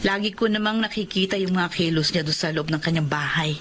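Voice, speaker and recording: A woman's voice: lowish voice, brisk, a little hiss or hum, natural voice. An actress playing a friendly, talkative female neighbor in a radio drama. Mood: reassuring. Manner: chatty, lively, exclamatory.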